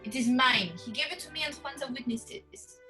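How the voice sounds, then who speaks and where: A woman's voice: high-pitched, normal speed, sharp tone, faint music behind. A woman playing a haughty, flirtatious foreign society madame in a theatrical radio play. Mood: indignant. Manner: theatrical, haughty, hesitant searching for English words.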